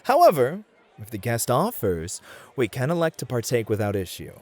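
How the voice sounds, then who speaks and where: A man acting in an ASMR roleplay as a smooth, confident, flirty club host who reassures and charms. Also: medium pitch, huge pitch swings, moderate pace, no room sound. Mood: playful, gracious.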